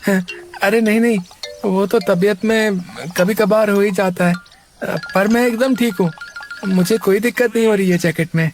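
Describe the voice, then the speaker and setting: A man's voice: sits high, steady tone, moderate pace, faint music behind. A man voicing a cheerful young laborer in a cartoon story, who talks in an eager, reassuring way. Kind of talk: Hindi cartoon story dubbing.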